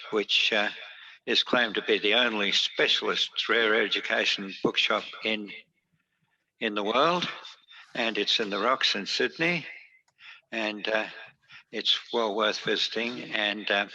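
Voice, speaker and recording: A man's voice: fairly low pitch, bright, forceful tone. A man introducing a speaker at a club meeting over a video call, hesitant with many fillers. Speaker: meeting host. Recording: dull lo-fi video call audio.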